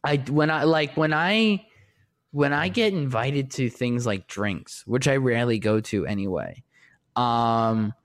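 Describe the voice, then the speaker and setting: High-voiced, shy, rambling man. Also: expressive, unhurried. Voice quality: distinctly high-pitched voice. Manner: rambling, lots of restarts and fillers, self-described shy. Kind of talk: casual conversation.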